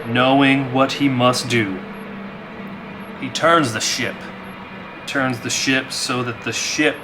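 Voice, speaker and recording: A man's voice: mid-range voice, poor audio. A man who hosts a gaming channel, talking to his audience and telling stories of solo play. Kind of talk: dramatized horror story narration and casual review.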